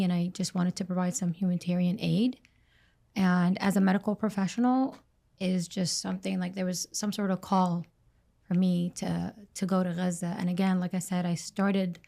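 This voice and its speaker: A woman, a registered nurse, answering interview questions earnestly, with halting restarts. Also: lowish voice, creaky, dark tone.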